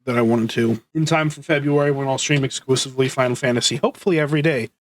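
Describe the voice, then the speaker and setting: A man's voice: mid-range voice, fast talker, no echo. A man hosting a casual podcast chat, sick, his voice starting to scratch, laid-back and swearing freely. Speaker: podcast host.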